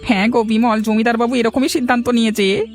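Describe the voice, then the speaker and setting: A humble, fretful village man voiced by a man with an extremely high-pitched voice. He talks plainly and earnestly in a cartoon, faint music underneath. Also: steady tone, fast talker. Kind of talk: cartoon dialogue.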